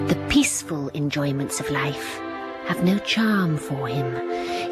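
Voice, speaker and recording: A woman playing an earnest young governess in a radio drama, narrating in a quiet, reflective way and often dropping to a whisper. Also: on the low side.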